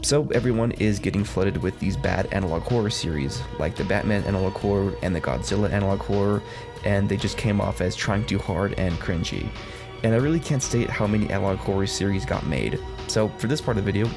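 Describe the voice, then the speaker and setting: Low-voiced sarcastic man over music. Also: gravelly, darkish tone. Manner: sarcastic, blunt, swears freely. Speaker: video essay host. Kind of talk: casual scripted commentary video. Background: background music.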